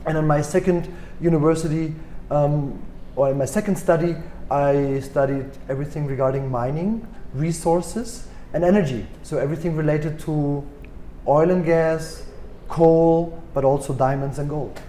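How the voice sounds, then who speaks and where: A man in his forties with a German accent, answering interview questions thoughtfully with many pauses and restarts. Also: fairly high pitch, mellow, reverberant, slight background noise. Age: 40s. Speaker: guest executive.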